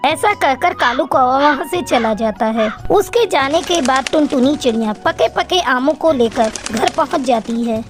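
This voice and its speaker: High-pitched woman narrating a children's story. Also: animated, moderate pace, few pauses, faint music behind.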